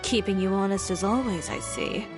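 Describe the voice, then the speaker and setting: A woman voicing a poised, composed fashion designer who speaks in a measured, cool manner, in a video game. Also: medium pitch, natural voice. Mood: calm. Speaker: boutique owner character. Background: game music.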